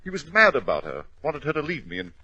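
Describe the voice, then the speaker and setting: An actor playing a tense, indignant and evasive English doctor in 1940s radio drama, with a clipped, halting manner. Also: male voice, a little high, wildly swooping pitch, bright, forceful tone, slightly rough, exaggerated voice. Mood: agitated. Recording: muffled 1940s radio recording.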